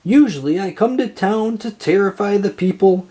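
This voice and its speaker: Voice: mid-range voice, lively intonation, moderate pace, mellow, voice pushed up, lightly acted. A storyteller voicing a ghostly male pirate captain who bellows menacing threats in pirate talk, for a children's storybook. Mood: commanding.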